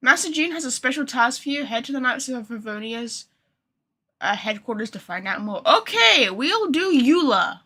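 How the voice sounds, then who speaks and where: A woman's voice: high-pitched, very animated, normal speed, bright, forceful tone, slightly rough. A woman chatting casually with viewers on a game livestream, thinking aloud in an informal, chatty way. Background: game music.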